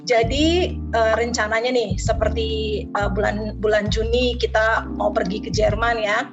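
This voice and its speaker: A middle-aged woman from Jakarta with a light Betawi accent, a university lecturer answering interview questions in a measured way, often pausing on eh. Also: fairly high pitch, steady tone, lo-fi sound. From Jakarta, Indonesia. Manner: measured, many hesitations with eh. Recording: music playing underneath.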